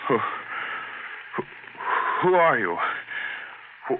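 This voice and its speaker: An actor playing a shaken man in a 1970s radio drama, urgent but trying to keep calm. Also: mid-range voice, slow pace. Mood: tense. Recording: tinny noisy old radio broadcast.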